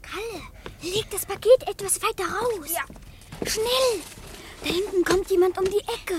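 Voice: very high voice, unhurried, background noise. A performer playing a pert, confident twelve-year-old girl, cheeky and outspoken, in a children's radio play. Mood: eager.

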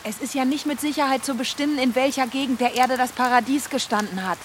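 A woman's voice: sits high, quick pace, close to an everyday voice. An actress playing a resolute, blunt yet vulnerable young refugee woman in a radio drama. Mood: bitter, defiant. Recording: hissy, with rain noise.

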